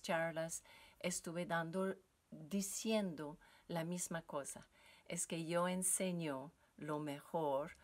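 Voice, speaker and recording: A woman in her fifties or sixties with a French Canadian accent and a French mother tongue, a yoga teacher speaking slowly and hesitantly with many fillers, recorded in a furnished basement room. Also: fairly low pitch. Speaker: yoga teacher giving a seminar. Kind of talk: recorded solo talk to viewers.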